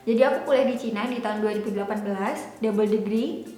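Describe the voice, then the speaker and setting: A woman's voice: mid-range voice, quick pace, dark tone. A woman in her twenties from Java, Indonesia, chatting casually and informally as a YouTube vlogger, explaining as she goes. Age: 20s. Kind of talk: casual chatty vlog talk. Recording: echoey room with background music. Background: music.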